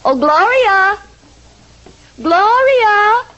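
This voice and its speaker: A woman's voice: very high-pitched, big pitch swings, very slow, pushed and bright, highs cut off, exaggerated voice. An actress playing an unflappable, pleasant wife and mother in an old-time radio comedy, on a noisy vintage recording with a studio audience.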